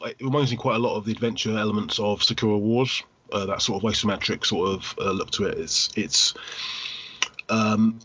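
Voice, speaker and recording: Husky man, slightly muffled podcast sound. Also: fairly low pitch, fairly bright tone, no echo. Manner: rambling, qualifying as he goes. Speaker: podcast co-host, game collector. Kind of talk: casual gaming podcast chat.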